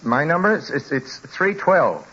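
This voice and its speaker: A man playing a frightened, haunted young traveler in a 1940s radio drama, speaking with halting, dramatic intensity. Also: a little high, sing-song, a bit bright. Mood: anxious. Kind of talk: 1940s radio drama acting, first-person narration. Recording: muffled, noisy old radio recording.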